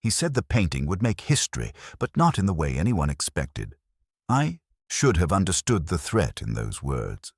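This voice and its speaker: A man voicing a dignified woman secretary who speaks quietly and steadily. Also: sits low, wildly swooping pitch, normal speed, breathy edge, no echo, clean studio sound, full character voice. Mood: regretful.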